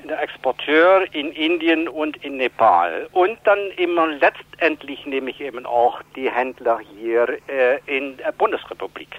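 A man answering interview questions as an aid organisation spokesman, with halting, hesitant phrasing. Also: mid-range voice. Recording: dull phone-line sound, dry.

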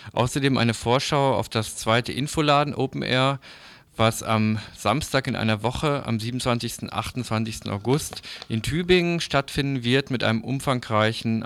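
A male news presenter with a crisp, resonant voice reads scripted previews. His delivery is formal and measured. The sound is close and dry, with very little room. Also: medium pitch, fairly bright tone, no echo.

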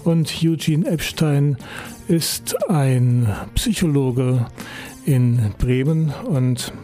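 Guy hosting a local radio show, mellow low-key voice, chatty and relaxed, little bit of music behind. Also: very slow. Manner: relaxed, chatty, filler-laden announcing. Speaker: radio host. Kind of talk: community radio show presenting. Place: radio studio.

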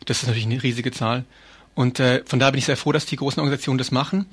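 A man's voice: medium pitch, steady tone, rapid-fire, husky. A man being interviewed as an activist, explaining things earnestly and informally with hesitant phrasing.

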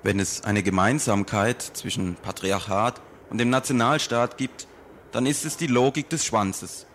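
A man reading a political essay aloud in a slow, deliberate, polemical manner. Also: medium pitch, a bit bright, a little hiss or hum.

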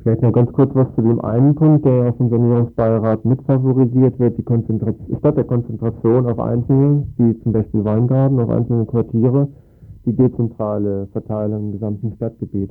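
A man moderating a local political discussion on the radio as the interviewer. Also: medium pitch. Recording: tinny phone-like band, music behind.

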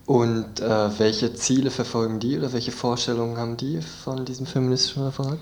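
A man's voice: medium pitch, steady tone, fairly quick, darkish tone. A man interviewing guests in a casual, conversational radio style.

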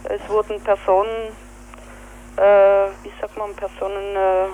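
A woman with a Swiss German accent, telling in an interview what happened, halting with fillers and searching for words. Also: mid-range voice, level tone, narrow, tinny audio, a little hiss or hum. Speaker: activist interviewee. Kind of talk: spoken account in an interview.

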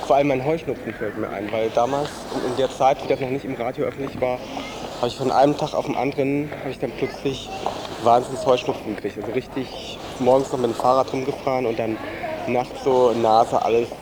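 A man answering interview questions casually, in a colloquial, rambling and halting way. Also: medium pitch, fairly even intonation. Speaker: street interviewee. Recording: noisy outdoor recording with traffic.

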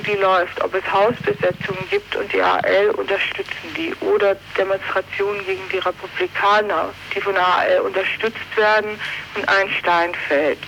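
A man being interviewed about politics on the radio, explaining at length in deliberate, halting phrases. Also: high voice, a bit bright. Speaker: interviewed political party member. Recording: clearly noisy 1980s radio recording.

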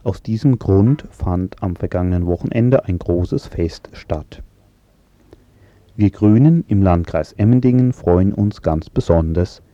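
A man's voice: deep voice, dark tone, no echo, dull audio. A man reading a scripted radio report as a reporter. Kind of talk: scripted news report narration.

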